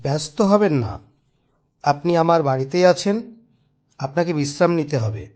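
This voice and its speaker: Man acting a very animated village gentleman. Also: a little high, moderate pace, lightly acted. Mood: reassuring. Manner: emphatic, explanatory, theatrical. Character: knowing village gentleman, reassuring yet scolding, telling a ghost tale. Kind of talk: dramatic cartoon story dialogue.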